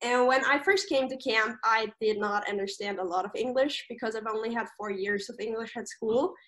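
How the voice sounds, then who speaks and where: A woman's voice: on the high side, moderate pace, fairly bright tone. A young woman from Austria, a native German speaker with an Austrian German accent, chatting casually about camp in an interview over a video call, full of fillers and restarts. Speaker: camp staff member.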